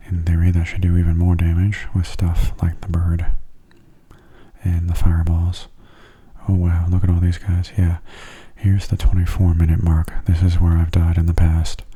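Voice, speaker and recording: Very soft, husky low-voiced man. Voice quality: breathy, hushed, often half-whispered. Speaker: video game streamer, ASMR host. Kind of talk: soft-spoken ASMR gameplay commentary.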